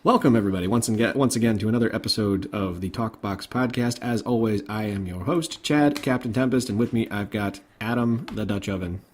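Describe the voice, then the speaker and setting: A man's voice: fairly low pitch, fairly quick, hardly any pauses. A man hosting a podcast, recounting news in an informal, conversational way. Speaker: podcast host. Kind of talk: casual podcast chat about game industry news.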